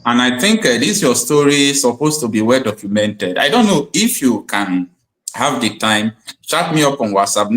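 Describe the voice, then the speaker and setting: A man's voice: medium pitch, a bit bright, low-quality recording. A man hosts an online call-in show. He speaks earnestly, in a mid-range voice, and often says the same thing twice. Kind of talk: casual call-in chat.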